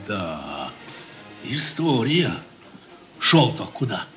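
A man's voice: on the low side, very animated, very slow, fairly bright tone, faint music behind, full character voice. An actor playing a curious, sympathetic, plain-spoken man in a Russian radio drama.

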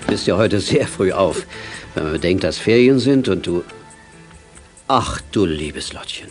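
A man's voice: low voice, animated, a little slow, soft background music. An actor playing a kindly, easygoing father who worries about his son in a German children's radio play. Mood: cheerful concern.

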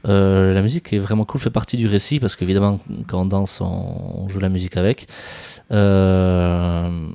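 A man with a low, mellow voice talks through a film in a relaxed podcast chat. He hesitates and restarts often and fills his pauses. His voice sounds close and dry, with no room behind it. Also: gravelly, clean tone, no echo. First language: Basque speaker. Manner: hesitant, many fillers and restarts. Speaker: podcast host. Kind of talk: casual film discussion.

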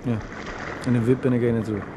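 A wry, idle older brother who likes to tease , played by a man in a radio play. He is in his thirties and speaks at a medium pitch, dry and mocking. Clear noise runs through the old recording. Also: little pitch movement, fast talker, close to an everyday voice. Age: 30s. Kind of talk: naturalistic radio play dialogue.

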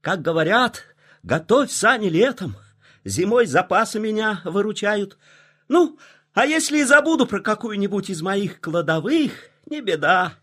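A man playing a thrifty, friendly, self-assured nuthatch in a children's audio play, with a husky voice. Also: sits high, very animated, a little slow, a bit bright, full character voice. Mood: matter-of-fact, friendly.

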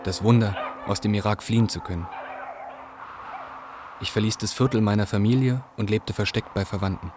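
A man's voice: on the low side, fairly even intonation, normal speed, long pauses, background noise, natural voice. A man playing a hunted, resourceful young Iraqi fugitive, narrating earnestly and evenly in a literary radio drama. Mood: solemn.

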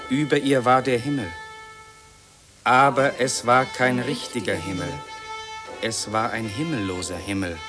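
Haunted male war witness played by an actor, incantatory 1950s radio drama delivery, clear music underneath. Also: mid-range voice, natural voice. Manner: incantatory, emphatic, short declamatory phrases. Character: haunted man recalling war from a flak tower.

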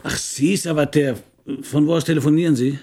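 An actor playing a middle-aged hard-boiled private detective in a radio crime drama, dry and laconic. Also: male voice, fairly high pitch, steady tone, brisk, dark tone, slight background noise, close to an everyday voice. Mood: brisk, bossy. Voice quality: husky, gravelly.

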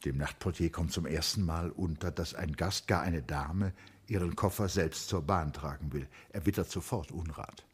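Deep-voiced male radio play narrator. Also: moderate pace, slightly rough, no echo.

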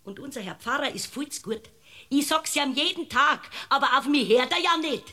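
A woman's voice: high voice, animated, normal speed, sharp tone. An actress playing an indignant, gossipy village woman with a Bavarian accent in a comic radio play, talking on self-righteously. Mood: scolding. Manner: voluble, self-righteous, complaining. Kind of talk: comic radio courtroom drama.

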